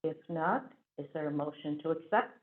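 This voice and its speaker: A woman's voice: sits low, normal speed. A woman chairing a school board meeting, running through procedure with frequent fillers. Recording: tinny phone-like audio.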